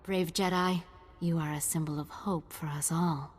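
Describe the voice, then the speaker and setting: A woman's voice: sits low, fairly even intonation, normal speed, slightly rough. A woman playing a gracious, formal noble ambassador in a video game, speaking with diplomatic courtesy. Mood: courteous, earnest. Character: gracious, dignified female ambassador of a noble house. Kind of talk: video game cutscene acting. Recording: long hall reverb.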